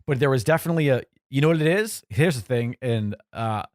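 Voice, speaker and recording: A man's voice: medium pitch, expressive, fairly quick, dry recording, studio quality. Rambling, joking man podcaster. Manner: rambling, self-correcting, joking. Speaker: podcast co-host. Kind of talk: casual chat.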